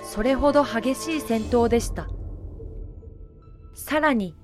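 A woman with a high voice narrates a historical audio drama. Her delivery is scripted storytelling with dramatic phrasing, and music plays softly behind her. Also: slow pace.

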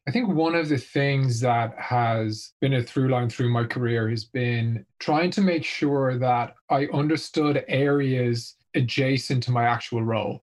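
A middle-aged man with an Irish accent talks through his working life in a reflective, measured way. His voice sits at an ordinary middle pitch, and he takes his time. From Ireland. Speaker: guest, marketing executive. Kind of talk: casual interview answers.

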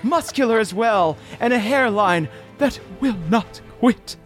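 Eager teen hero, voiced by a man, grandly overacted old-time radio play, high and very animated. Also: a little slow, soft background music. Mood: grandly heroic. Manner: theatrical, overacted. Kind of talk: mock-archaic radio drama acting.

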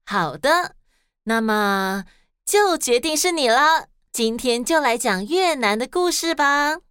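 A woman's voice: high-pitched, animated, slow pace, fairly bright tone, smooth, no echo. A woman with a Taiwanese accent hosting and narrating stories expressively for children. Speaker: host and storyteller of a children's podcast.

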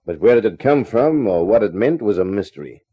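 An actor playing a wry, wisecracking private detective who narrates a 1950s radio drama. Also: male voice, lowish voice, lively intonation, a little fast, breathy edge. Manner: dry, wisecracking, laconic. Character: wry hard-boiled male private eye. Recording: dull 1950s radio recording.

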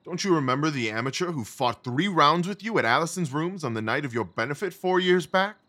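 A man playing a confident, genial Victorian gentleman detective in an audio drama, assured, wry and articulate. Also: a little high, lively intonation, a little fast. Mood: amused, genial.

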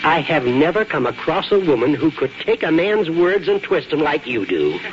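A man's voice: a little high, big pitch swings, normal speed. A grumbling but fond small-town father in an old radio play. His lightish voice rises and falls freely with folksy, dry teasing. The recording is dull and noisy. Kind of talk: 1940s radio acting.

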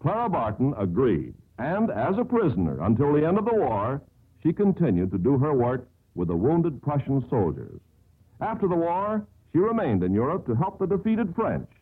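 A man's voice: a little high, wildly swooping pitch, normal speed, breathy edge, narrow, tinny audio. An American man announcing in formal, earnest 1950s scripted radio style, on a thin old broadcast recording.

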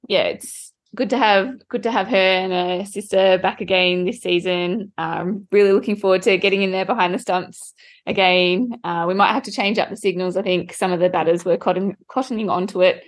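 A woman's voice: medium pitch, steady tone, normal speed. A woman giving casual answers as an interview guest. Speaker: interview guest, club cricketer.

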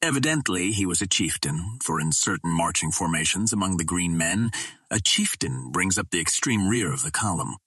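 Male narrator for classic adventure fiction: lowish husky voice, measured storytelling, dry sound. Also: lively intonation, pushed and bright, no room sound. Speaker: audiobook narrator.

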